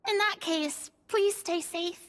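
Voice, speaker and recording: An actress voicing a small, excitable female companion who talks in an expressive, fretful, exclamatory way. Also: very high voice, takes it slowly, exaggerated voice. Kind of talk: game voice acting.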